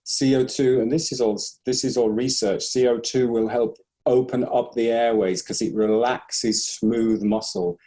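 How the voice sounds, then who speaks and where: A man's voice: mid-range voice, fairly even intonation, measured pace, hardly any pauses, dark tone. A man, a breathing instructor, explaining carefully in a conversational interview and hedging his claims.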